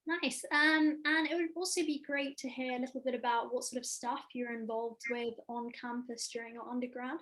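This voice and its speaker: A woman's voice: a little high, fairly even intonation, moderate pace, few pauses, fairly bright tone. A woman moderating a panel discussion over a video call, with many fillers.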